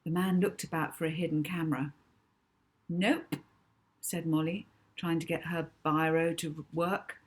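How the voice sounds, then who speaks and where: A woman with a fairly low voice narrates a children's story. She reads as the author would, moving between chatty introduction and storybook telling. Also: little pitch movement, normal speed, hardly any pauses. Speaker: author reading own novel aloud.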